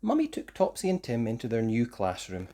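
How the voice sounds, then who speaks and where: Brief: storybook narrator for young children, expressive and animated, with wide melodic swings. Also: mid-range voice, brisk. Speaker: children's story reader, host.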